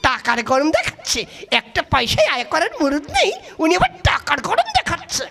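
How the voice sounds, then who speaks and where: A man's voice: huge pitch swings, gravelly, pushed and bright, heavily acted. A greedy village wife from a comic puppet show. She nags and wheedles theatrically, swooping widely through every phrase. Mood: scornful, scolding. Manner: shrill, theatrical, drawn-out wheedling and scolding. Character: nagging, greedy village wife puppet, turning sugary-sweet. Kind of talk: comic folk puppet-play acting.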